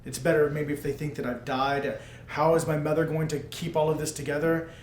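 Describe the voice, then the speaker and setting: A man's voice: fairly high pitch, level tone, fairly quick. A man playing a tabletop roleplaying game, speaking casually as his character and describing his actions, recorded with roomy echo and some noise.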